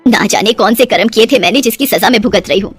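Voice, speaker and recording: A woman's voice: mid-range voice, fast, husky, soft background music, close to an everyday voice. A woman voicing an exasperated middle-aged mother-in-law in a story, scolding and lamenting dramatically.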